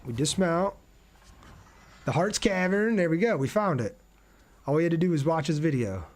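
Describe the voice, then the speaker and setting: A man's voice: on the high side, animated, normal speed. Chatty male livestream gamer. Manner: chatty, thinks aloud, addresses viewers. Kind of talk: casual livestream gameplay commentary.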